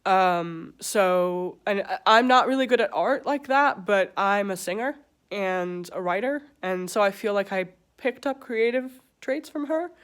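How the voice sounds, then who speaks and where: A woman in her twenties from England who speaks with an American accent, talking hesitantly with many pauses and fillers in a personal confessional vlog. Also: medium pitch, expressive. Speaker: vlogger.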